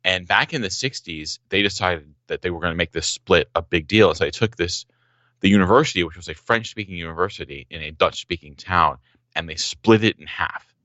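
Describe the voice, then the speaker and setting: A young man speaking as a podcast guest, with a very deep voice that still carries a bright, forceful edge. He tells his stories with enthusiasm, using a few ums along the way. The audio is dry and somewhat muffled. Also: brisk, dry recording. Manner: enthusiastic storyteller, some ums. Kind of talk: casual podcast conversation.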